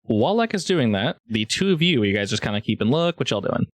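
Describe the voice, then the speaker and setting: A male game master narrates a tabletop roleplaying game off the cuff. His pitch moves around in a lively way, and the recording is close and dry. He keeps an informal, joking manner. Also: fast, dry recording.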